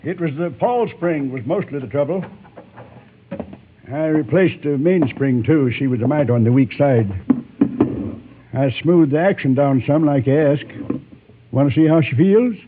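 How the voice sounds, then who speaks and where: Western gunsmith acted by a man: folksy, plain-spoken American, highish voice, firm but chatty, thin vintage radio sound. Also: narrow, tinny audio, lightly acted. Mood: friendly, chatty. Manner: folksy, plain-spoken, drawn-out homespun phrasing. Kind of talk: old-time radio western acting. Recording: thin, faintly noisy old radio broadcast.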